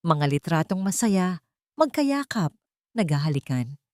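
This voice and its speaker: A woman narrates a romantic drama from a script. Her voice sits slightly low, and the recording is dry and close, with storytelling phrasing throughout. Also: sing-song, measured pace, darkish tone, dry recording.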